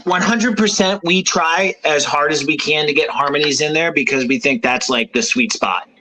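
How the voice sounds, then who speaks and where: High-voiced, bright rock singer. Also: male voice, normal speed, rough recording. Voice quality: unusually high voice. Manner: rambling, many fillers and restarts, laughs easily. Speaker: rock band front man, guest. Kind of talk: casual radio chat.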